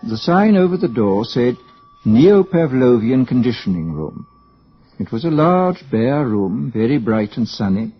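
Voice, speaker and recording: Animated male radio drama narrator, dull recording. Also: mid-range voice, unhurried, breathy edge, faint music behind.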